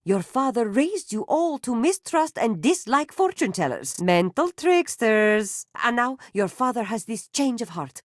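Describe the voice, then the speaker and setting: Theatrical circus mother, lilt, wildly swooping pitch, dry studio sound. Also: sing-song, unhurried, few pauses, no room sound. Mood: commanding. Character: strict proud circus matriarch mother, middle-aged. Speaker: voice actress. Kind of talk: video game voice acting.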